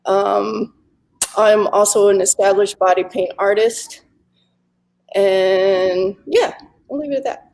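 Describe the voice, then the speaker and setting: A woman's voice: mid-range voice, slow, long pauses, a little mellow, low-quality recording. A woman dance educator introducing herself on a panel, with fillers. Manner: hesitant, with fillers. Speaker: dance educator and body paint artist.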